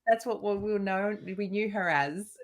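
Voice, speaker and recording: A woman's voice: mid-range voice, measured pace, a crowd in the background. A woman with a strong Australian accent, co-hosting a podcast and chatting in an easygoing way. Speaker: podcast co-host. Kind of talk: casual conversational podcast chat.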